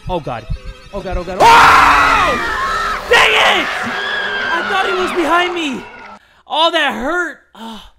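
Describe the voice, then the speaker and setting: A young man in a home room on a headset, hosting a horror game playthrough, swinging from loud yelling to hushed talk. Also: very high voice, sing-song, takes it slowly, over a noisy crowd, very noisy. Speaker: gaming video host. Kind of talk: energetic horror game commentary.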